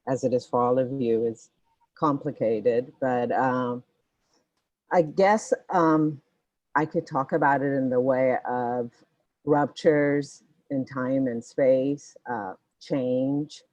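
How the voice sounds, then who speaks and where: A woman in her forties from Ethiopia, an artist reflecting thoughtfully on her work in a panel conversation, pausing often as she searches for words. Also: rumbling low, steady tone, a little mellow, no echo, lo-fi sound. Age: 40s. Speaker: artist guest.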